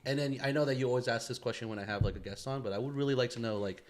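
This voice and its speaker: Quick-talking man chatting casually. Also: mid-range voice. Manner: rambling, slangy, frequent swearing. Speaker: podcast host. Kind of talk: casual chat among friends.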